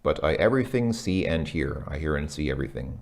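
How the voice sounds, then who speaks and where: A man working through a language lesson out loud, hesitant, with many ums and self-corrections. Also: extremely low pitch, lively intonation, a little fast, creaky. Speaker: language learner reviewing a lesson. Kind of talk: casual thinking-aloud commentary.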